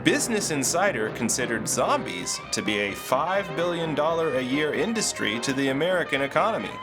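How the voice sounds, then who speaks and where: Fatherly ghost host, acted by a man, scripted explainer, swooping pitch, music underneath. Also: sing-song, fairly quick. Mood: explanatory. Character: fatherly ghost storyteller explaining horror history. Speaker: host and narrator. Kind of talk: scripted educational narration.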